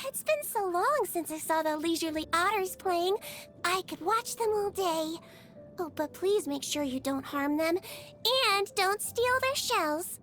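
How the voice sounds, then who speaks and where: A woman playing a small, sweet, earnest creature who works as a nurse in a video game, gentle and bubbly with an innocently clinical manner. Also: extremely high pitch. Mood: friendly, caring. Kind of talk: video game character voice lines. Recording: music behind the voice.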